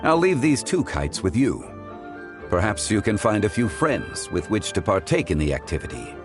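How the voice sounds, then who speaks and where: An actor playing a composed, scholarly gentleman in a video game, speaking in formal, courteous phrases. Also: fairly low pitch, big pitch swings, normal speed, long reverb tail, over music. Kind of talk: scripted video game dialogue.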